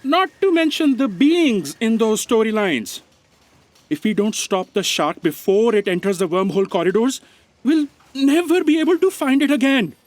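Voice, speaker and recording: A sensible, measured aide acted by a man in a space comedy audio play. The recording is dry and close, the delivery apologetic and earnest. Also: high-pitched, expressive, a little mellow, dry recording. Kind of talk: comic sci-fi audio drama.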